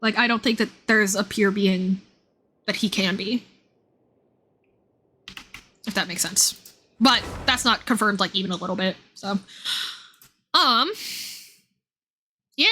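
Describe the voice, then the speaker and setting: A woman's voice: mid-range voice, takes it slowly, long pauses, bright, forceful tone, a little hiss or hum. A woman live streamer chatting casually with viewers about a game, rambling in an informal, slangy way. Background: music.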